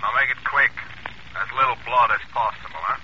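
An actor playing a cold, deliberate, commanding crime boss in a 1940s radio suspense drama. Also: male voice, medium pitch, pushed and bright. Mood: cold, businesslike. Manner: deliberate, matter-of-fact, commanding. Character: cold, businesslike male boss plotting a murder. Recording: noisy, tinny old radio phone scene.

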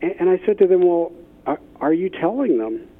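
Male business guest, slightly high voice, interview anecdotes, narrow phone-line audio. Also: very animated, normal speed. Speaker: expert guest, business innovation consultant. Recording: thin phone-line sound.

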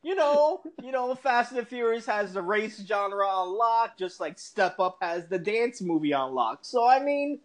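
A man hosting a podcast, chatting casually in an animated, slangy way with plenty of swearing. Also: high-pitched, unhurried, hardly any pauses. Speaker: podcast host. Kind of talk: casual ranting movie chat.

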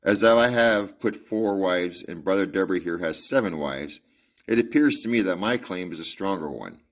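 A man's voice: low-pitched, lively intonation, moderate pace. A man reading aloud and voicing a pompous young male suitor who boasts and preaches. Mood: smug.

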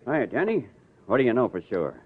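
An actor playing a laconic, knowing street tipster in a vintage radio crime drama. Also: male voice, mid-range voice, sing-song, fairly quick, lightly acted. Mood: cagey. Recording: tinny, faintly noisy vintage radio.

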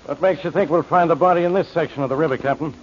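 An actor playing an impatient, clipped police inspector in an old-time radio drama. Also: male voice, a little high, very fast, close to an everyday voice. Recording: muffled, noisy old radio recording.

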